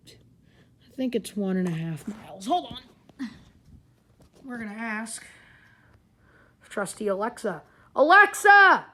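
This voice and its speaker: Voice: medium pitch, fairly bright tone. A person giving a casual, rambling hands-on gadget review in an informal way. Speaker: product reviewer. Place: carpeted home living room.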